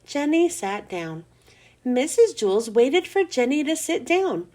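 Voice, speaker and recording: High, animated woman reading stories. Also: unhurried. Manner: animated, emphatic delivery. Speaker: children's read-aloud host. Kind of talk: expressive storybook read-aloud.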